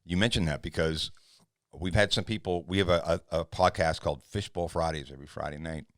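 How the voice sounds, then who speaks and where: A man's voice: deep voice, steady tone, fairly quick, a bit bright, no room sound, studio quality. A man hosting a podcast, chatting and asking his guest questions. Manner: conversational, asks questions. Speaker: podcast host. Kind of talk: casual interview chat.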